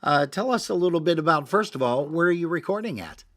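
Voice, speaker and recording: A man's voice: fairly high pitch, expressive, quick pace, a bit bright, no echo. A man hosting an interview show, asking questions with enthusiasm and heaping praise on his guest. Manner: enthusiastic, complimentary. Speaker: show host.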